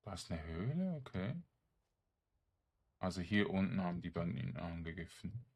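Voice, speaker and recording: A man's voice: low-pitched, expressive, moderate pace, darkish tone, slightly rough. A man giving casual let's play commentary, murmuring to himself in short remarks. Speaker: gamer, let's play host. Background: game music.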